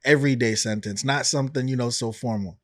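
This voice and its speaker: A man's voice: mid-range voice, fast, a bit bright, no room sound, studio quality. Laid-back man hosting an interview. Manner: laid-back, lots of "mm" and "okay". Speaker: podcast host. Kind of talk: casual interview chat.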